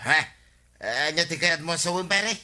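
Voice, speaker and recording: A man with an Ilocano Filipino accent playing an excitable, shifty village husband in a radio comedy drama. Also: a little high, a little slow. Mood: defensive.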